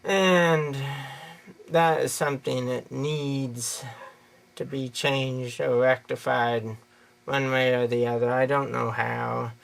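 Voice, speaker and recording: A man's voice: mid-range voice, little pitch movement, slow, creaky. An American man giving an unscripted opinion monologue in a rambling, folksy, plain-spoken way. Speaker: video creator giving an opinion.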